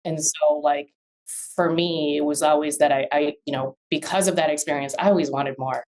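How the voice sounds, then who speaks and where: Female guest, 40s, low register; relaxed, thoughtful, conversational with frequent restarts. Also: moderate pace, rough recording. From born in Vietnam, raised in the US. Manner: thoughtful, many fillers and restarts. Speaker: guest, real estate attorney. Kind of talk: casual interview conversation.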